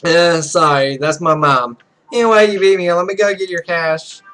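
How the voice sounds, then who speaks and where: A man acts out a young male pro gamer in a visual novel game, cocky and grumpy. The voice is high, the talk blunt and brash, with music behind it. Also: steady tone, normal speed, sharp tone. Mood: sheepish, puzzled.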